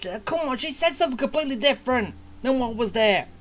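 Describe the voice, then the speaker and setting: A woman streaming a game with casual commentary, musing aloud in a halting way. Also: sits high, animated. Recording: game music behind the voice.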